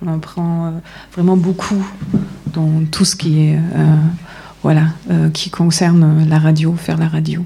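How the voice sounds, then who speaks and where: Halting woman, low mellow voice. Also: level tone, gravelly, breathy edge. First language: not French. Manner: halting, searching for words. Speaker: radio workshop participant. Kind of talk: casual round-table talk.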